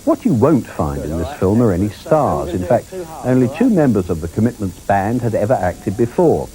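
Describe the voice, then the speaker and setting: A man's voice: fairly low pitch, very animated, moderate pace. A man presenting a film review programme in a wry, arch scripted manner.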